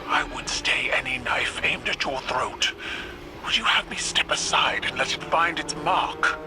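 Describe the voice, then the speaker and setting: Proud, imperious alien warrior empress. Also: female voice, rumbling low, unhurried, whispered, over music. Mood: firm, protective. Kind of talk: scripted game dialogue.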